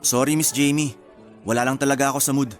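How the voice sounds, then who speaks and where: An actor playing a moody, put-upon groom-to-be with a Filipino accent in a radio drama, with music behind. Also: male voice, medium pitch, fairly even intonation, fast talker, lightly acted. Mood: sulky.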